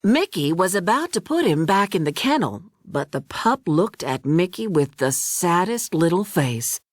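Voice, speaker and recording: A woman reads a children's storybook aloud with a hugely animated voice. She takes her time, narrating deliberately and expressively in a close, dry recording. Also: fairly low pitch, dry recording. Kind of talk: scripted children's read-along narration.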